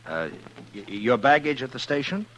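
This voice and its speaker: An actor playing a steady, protective frontier marshal in a 1950s radio western, with a measured, understated delivery. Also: male voice, medium pitch. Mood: evasive, gentle. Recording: noisy 1950s radio recording with hum.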